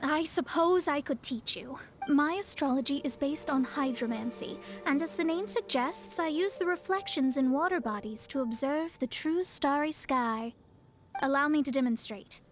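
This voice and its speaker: A woman's voice: sits high, normal speed, close to an everyday voice. A woman playing a proud, self-assured young astrologer with pompous, formal speech in an anime-style video game. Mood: lofty, lecturing. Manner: pompous, formal, elaborate wording. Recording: background music behind the voice.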